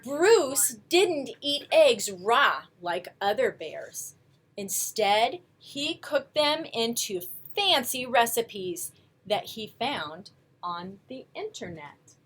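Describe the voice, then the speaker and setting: A woman storyteller reading a picture book aloud to young children, with expressive, coaxing asides to her listeners. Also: on the high side, takes it slowly, a little hiss or hum, poor audio.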